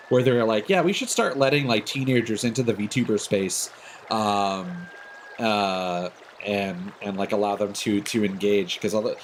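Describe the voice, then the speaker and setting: A man's voice: mid-range voice, a little hiss or hum. A man chatting casually on a livestream as its host, rambling through his opinions. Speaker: live streamer hosting a game stream.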